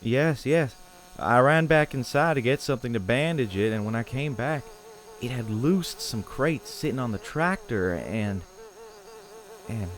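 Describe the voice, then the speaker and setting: A man's voice: medium pitch, animated, unhurried, close to an everyday voice, low-quality recording. A man playing a troubled, plain-spoken farmer in an audio horror play, hesitant, folksy and understated. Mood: uneasy, hesitant. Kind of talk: audio horror drama acting. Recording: insect-like ambient noise behind dialogue.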